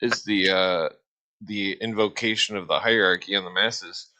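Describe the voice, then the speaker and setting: Forceful, bright, low-voiced male streamer. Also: fairly even intonation, low-quality recording. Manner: halting, sweary. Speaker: livestream host. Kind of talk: casual livestream chat.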